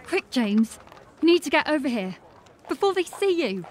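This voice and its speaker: A woman's voice: high voice, big pitch swings, normal speed, a little hiss or hum. Anxious, urgent woman constable, acted. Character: anxious, urgent young city watch constable, loyal. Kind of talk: fantasy audio drama acting.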